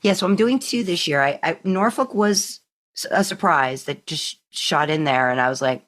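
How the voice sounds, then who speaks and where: A woman's voice: low-pitched, animated, normal speed, a bit bright. A woman hosting a podcast in a casual, conversational interview style, telling stories animatedly. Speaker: podcast host.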